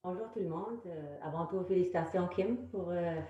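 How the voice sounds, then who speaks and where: Deep mellow woman from Morocco. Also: moderate pace, a little hiss or hum. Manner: hesitant, many fillers and restarts. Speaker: human resources officer. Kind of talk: panel testimony. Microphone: video call. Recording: roomy, dull video call audio.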